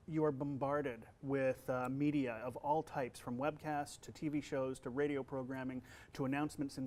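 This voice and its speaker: A man who works as a voice actor and college instructor, answering interview questions in a relaxed way, with a young-sounding voice and many fillers and restarts. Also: a little high.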